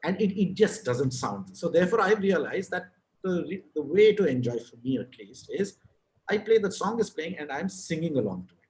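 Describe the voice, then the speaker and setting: A man with a South Indian accent talks frankly over a video call, circling back and restarting his sentences and swearing now and then. His voice sits a little high for a man. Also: very animated, moderate pace, a little mellow, rough recording. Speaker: guest, advertising writer and author. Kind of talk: casual conversational interview.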